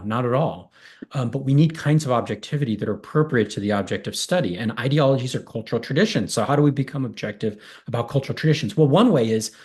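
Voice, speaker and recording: Husky-voiced man explaining ideas on a video call. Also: mid-range voice, darkish tone. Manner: thoughtful, explanatory, builds long lists. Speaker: guest, political science professor. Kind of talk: academic interview on a video call. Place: small home room with bare wall. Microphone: earphones with cable mic on a video call.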